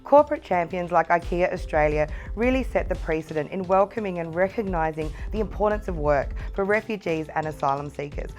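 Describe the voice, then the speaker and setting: A woman's voice: fairly low pitch, lively intonation, brisk, hardly any pauses, a little mellow, soft background music. A woman representing a partner organisation, speaking in a formal, promotional corporate interview.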